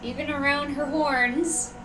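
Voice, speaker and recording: Slow, high-voiced woman streaming. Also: fairly even intonation, recorded from a distance, loud music behind the voice, poor audio. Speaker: game streamer. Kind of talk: casual livestream reactions.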